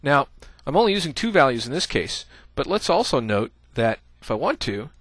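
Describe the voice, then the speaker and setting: A man's voice: medium pitch, very animated, fairly quick, a bit bright, dry recording. A man giving a casual screencast coding tutorial, thinking aloud as he types, with many "uh" fillers. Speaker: programming instructor.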